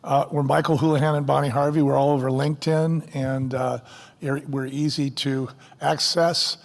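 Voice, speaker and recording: A man, a company co-founder, tells stories eagerly as a guest on stage. His voice sits a little high and rings with a long hall echo. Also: little pitch movement, normal speed, gravelly. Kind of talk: fireside chat on stage.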